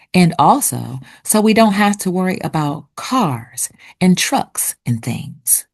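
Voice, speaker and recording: A woman narrating for children like a teacher, with deliberate, expressive enunciation. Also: very deep voice, creaky, breathy edge. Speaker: read-aloud host and narrator. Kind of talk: children's picture book read-aloud with vocabulary teaching.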